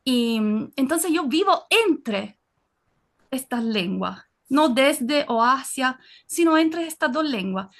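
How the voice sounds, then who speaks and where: Italian woman, high voice, earnest and hesitant, on a video call. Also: expressive, slow. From Naples, Italy. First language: Italian and Neapolitan. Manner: earnest, frequent fillers and restarts. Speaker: audience participant. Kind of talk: reflective personal comment in an online session.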